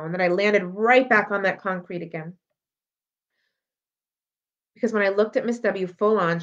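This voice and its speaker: Woman, expressive read-aloud, children's novel, medium pitch, dull lo-fi recording. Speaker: library read-aloud reader. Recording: dull lo-fi audio.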